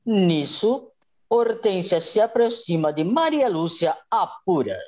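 A woman's voice: mid-range voice, expressive, slow pace, darkish tone. A woman narrating a radio soap as a dramatic scripted announcer.

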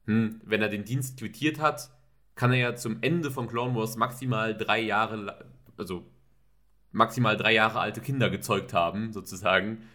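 Male co-host, slightly low voice with a bright, tense edge, casual detailed recaps. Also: steady tone, moderate pace. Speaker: podcast co-host. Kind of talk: casual episode discussion.

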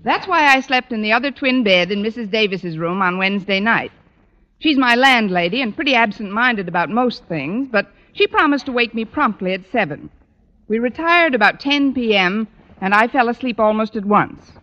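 A put-upon American schoolteacher in an old radio comedy. Her voice is forceful and fairly high, swinging widely in pitch, with dry sarcasm in the delivery. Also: normal speed. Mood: wry narration. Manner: dry, sardonic deadpan. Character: wry, put-upon female high school English teacher. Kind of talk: 1940s radio sitcom acting and narration. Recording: dull old-time radio recording.

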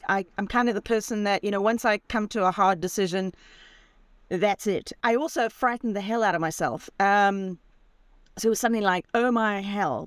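A woman's voice: medium pitch, dry recording. Chatty woman in her sixties. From Zimbabwe. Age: 60s. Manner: chatty, self-deprecating, lively storyteller. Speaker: guest, author and van traveller. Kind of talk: casual storytelling interview. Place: in her campervan.

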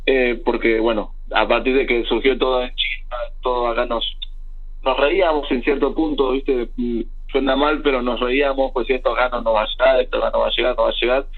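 A man's voice: medium pitch, little pitch movement, a little fast, husky. A young man with an Argentine accent, talking as an interview guest and rambling with many restarts and fillers. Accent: Argentine Spanish. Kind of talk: casual remote interview. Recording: tinny phone-line audio.

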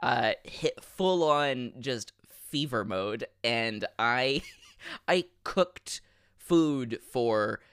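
A man in his thirties co-hosting a podcast, chatting casually, animated and laughing, often restarting his sentences. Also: mid-range voice, drawn-out words, clear, resonant tone, no room sound, clean studio sound. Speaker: podcast co-host. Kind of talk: casual chat.

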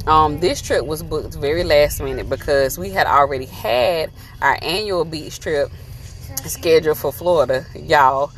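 A woman's voice: low voice, measured pace. A woman in her thirties chatting casually for a travel vlog from inside a moving car, laughing often. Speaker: vlogger.

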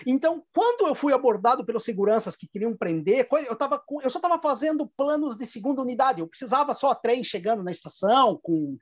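A man's voice: very high-pitched, expressive, fast talker, few pauses, dry recording, highs cut off. Gaúcho-accented man telling rambling anecdotes. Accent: Rio Grande do Sul. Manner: rambling anecdotes with frequent restarts and laughs. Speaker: filmmaker guest. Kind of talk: casual storytelling interview.

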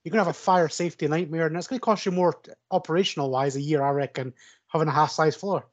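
Higher-pitched man, dull dry recording. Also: quick pace, dry recording. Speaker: podcast panelist. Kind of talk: casual film discussion.

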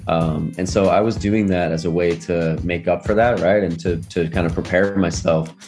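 A man's voice: low voice, steady tone, moderate pace, a little mellow, soft background music. A young American man telling personal stories in a casual, reflective way, often dropping in filler phrases like "you know". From United States. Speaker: podcast guest. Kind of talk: casual conversational storytelling.

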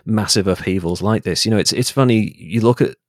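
Deep-voiced man in his late thirties, articulate. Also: a little fast, dry recording, studio quality. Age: late 30s. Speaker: podcast guest. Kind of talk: reflective interview conversation.

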